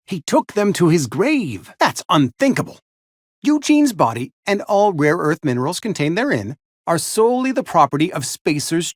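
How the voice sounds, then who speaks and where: An actor playing a fussy, mournful, company-loyal frontier barber and undertaker in a video game, speaking in formal, wordy, over-polite phrases. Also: male voice, fairly high pitch, vocal fry, dry recording, studio quality, full character voice. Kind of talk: theatrical video game character acting.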